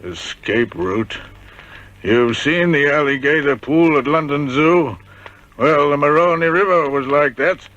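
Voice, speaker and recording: An actor playing an old ex-convict in a radio drama, telling vivid, brooding tales of a prison escape. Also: male voice, on the high side, a little slow, fairly bright tone. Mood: grimly reminiscing. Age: older. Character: old male ex-convict haunted by Devil's Island escape. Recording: muffled vintage radio drama recording.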